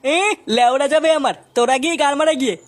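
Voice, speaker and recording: A woman's voice: high-pitched, fast, no echo, natural voice. A woman voicing an aggrieved, hot-tempered husband in a crude comedy cartoon, belligerent and swearing. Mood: indignant. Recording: loud music under the voice.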